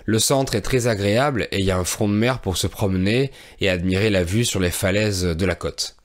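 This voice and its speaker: A man in his thirties from France, a fairly low-voiced language teacher recounting a trip to learners. He speaks in a clear, measured way, with the odd soft "euh". He is recorded close on a studio microphone in a small indoor room. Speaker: French teacher and podcast host. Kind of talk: relaxed storytelling for language learners. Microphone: studio microphone on a boom arm, close.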